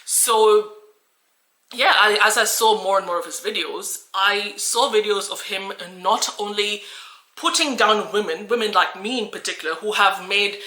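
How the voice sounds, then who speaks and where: A woman's voice: medium pitch, a little slow, sharp tone, roomy sound, poor audio. A woman who teaches English, telling a personal story to camera in an earnest, emphatic way. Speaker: English teacher and channel host.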